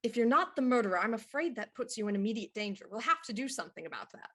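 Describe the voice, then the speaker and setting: An actress playing a composed, shrewd police inspector in a period radio murder mystery, with a dry, deliberate delivery. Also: female voice, a little high, expressive, fairly bright tone. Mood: assured. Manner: deliberate, dry, theatrical deduction.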